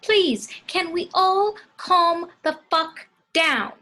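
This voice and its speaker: A woman's voice: very high voice, animated, very slow, sharp tone, full character voice. A woman reading the part of an exasperated but level-headed young peacemaker in an amateur table read of a film script.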